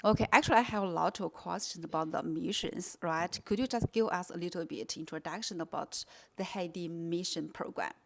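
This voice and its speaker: A woman's voice: lowish voice, fairly quick, gravelly, fairly bright tone, no room sound. A woman hosting her own channel, chatting as she walks through the snow to a home and then asking interview questions. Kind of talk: vlog narration and interview questions. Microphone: handheld camera.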